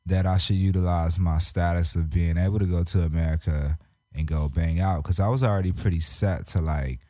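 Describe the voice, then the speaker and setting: A young man from Japan chats on a radio show in a deep, mellow voice, drawing out his words in relaxed slang. His voice sits close and dry on a studio boom mic. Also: dry recording. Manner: laid-back, slangy, drawn-out phrases. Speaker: rapper and artist guest. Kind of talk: casual interview chat. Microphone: studio mic on boom arm.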